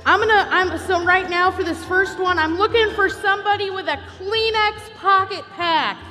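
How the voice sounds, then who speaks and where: Woman w really high voice doing live show banter, big echoey hall, music behind. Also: moderate pace, bright, forceful tone. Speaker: co-host of a live podcast stage show. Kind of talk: unscripted live stage banter and giveaway hosting. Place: large convention hall stage, over PA.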